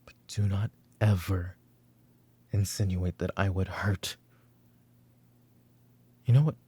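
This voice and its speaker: A man playing a weary, short-tempered mafia boss father in a roleplay audio drama, with a gravelly, husky voice and a commanding, curt manner. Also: low-pitched, steady tone, slow pace, full character voice. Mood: hushed, tender. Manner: commanding, curt, slipping into hushed muttering. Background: background music.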